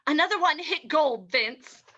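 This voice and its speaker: A woman's voice: sits high, expressive, measured pace, pushed and bright, muffled recording, full character voice. A woman playing an uneasy gangster's moll, a reluctant accomplice who pleads and hesitates, in an old-time radio drama. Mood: reporting.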